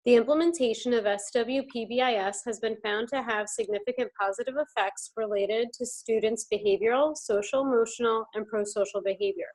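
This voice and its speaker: A woman from New Jersey, an instructor and life coach, giving a clear, formal overview in a prepared presentation. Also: on the high side, brisk, hardly any pauses, a little mellow, poor audio. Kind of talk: reading a prepared class presentation.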